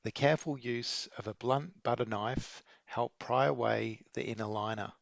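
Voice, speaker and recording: Gravelly man narrating a how-to. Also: medium pitch, normal speed, no echo, lo-fi sound. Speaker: video maker giving an installation tutorial.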